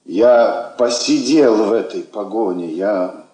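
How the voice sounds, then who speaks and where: An actor playing a middle-aged military husband, weary, lonely and wistful, in a theatrical radio drama. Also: male voice, on the low side, slow, warm tone, roomy sound, poor audio.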